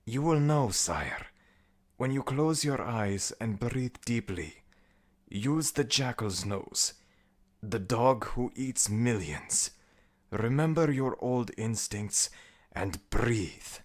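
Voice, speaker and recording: A man acts out an anxious servant attending a god in an ASMR audio drama. The voice is lifted well above the performer's deep natural register. The delivery is formal and deferential, with an earnest, worried edge. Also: animated, slow pace. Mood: earnest, insistent. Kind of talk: scripted ASMR audio roleplay.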